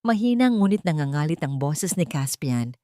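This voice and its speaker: A Filipino woman reading a dramatic scripted story as an audio narrator, in a solemn, measured manner. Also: low-pitched, very animated, mellow, no room sound, clean studio sound.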